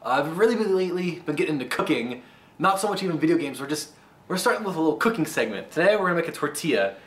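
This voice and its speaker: A man, hosting a mock cooking tutorial in a halting, deadpan way. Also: fairly high pitch. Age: 20s. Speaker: host of a comedic cooking segment. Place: home kitchen indoors. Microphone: camera mic held by another person nearby. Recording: noisy camera audio, room noise.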